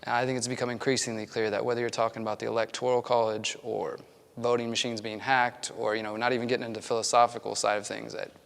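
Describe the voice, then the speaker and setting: A man in his thirties from Texas, arguing his case in a measured, skeptical way in a group discussion. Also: mid-range voice, little pitch movement, creaky, a bit bright. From Texas, USA. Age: 30s. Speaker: discussion participant. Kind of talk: debate discussion. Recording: roomy hall reverb, faint hum.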